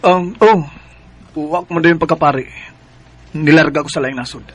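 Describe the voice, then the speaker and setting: An actor playing an awkward, apologetic former boyfriend in a radio drama, speaking hesitantly. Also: male voice, on the high side, a bit bright, dry recording. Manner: hesitant, halting.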